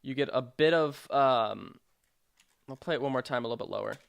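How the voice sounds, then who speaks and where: A man narrating a software tutorial in an informal, explanatory way with hesitant phrasing. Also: mid-range voice, brisk, vocal fry, crowd noise. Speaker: sound design tutorial presenter.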